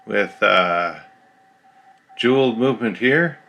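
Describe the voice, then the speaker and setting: A man's voice: on the low side, expressive, takes it slowly, creaky, lo-fi sound, faint music behind. A man casually narrating an unboxing of a radio key, explaining each part plainly as he shows it.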